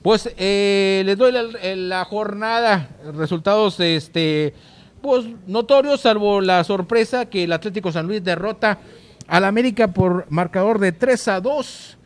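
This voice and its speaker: A man co-hosting a sports show, giving a news round-up in a halting, hesitant way with repeated words. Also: high voice, smooth. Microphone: handheld microphone, masked speaker.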